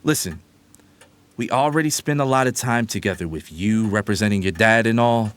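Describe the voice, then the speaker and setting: An actor playing a loyal, protective family lawyer, earnest and emphatic, in an audio drama. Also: male voice, lowish voice, normal speed, fairly bright tone, smooth, natural voice. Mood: reassuring.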